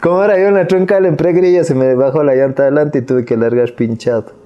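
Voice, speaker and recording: A man in his thirties with an Ecuadorian Spanish accent, hosting a casual podcast chat with slangy, relaxed questions. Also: a little high, quick pace, a little hiss or hum. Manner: slangy, laid-back questioning. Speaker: podcast host. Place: small cozy studio room. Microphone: lapel mic.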